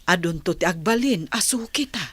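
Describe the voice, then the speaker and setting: A woman's voice: fairly low pitch, animated, normal speed, fairly bright tone, husky, dry recording. A woman with an Ilocano Filipino accent playing a patient village mother giving advice in a radio drama. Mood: patient, advising.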